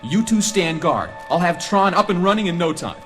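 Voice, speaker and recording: High-voiced, eager game whiz. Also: male voice, expressive, normal speed, music in the background. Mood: eager, determined. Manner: breezy, eager, informal. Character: cocky, energetic male video game whiz. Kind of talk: read-along record dramatization.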